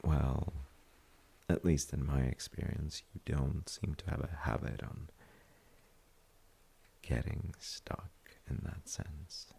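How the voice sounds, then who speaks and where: A man acts out a thoughtful, comforting father in a bedtime audio roleplay. His voice is extremely creaky and husky, with a dark, mellow tone. He speaks softly and reflectively, hesitating mid-sentence as he gently reassures. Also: fairly even intonation, slow, pauses often, exaggerated voice. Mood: tender, reassuring. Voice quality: very deep, gravelly, husky. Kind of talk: soft-spoken sleep-aid audio roleplay.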